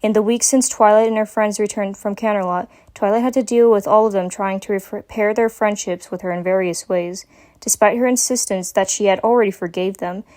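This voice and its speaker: A woman's voice: medium pitch, fairly even intonation, a little fast, darkish tone. A woman giving a dramatic reading of a scripted story as its narrator.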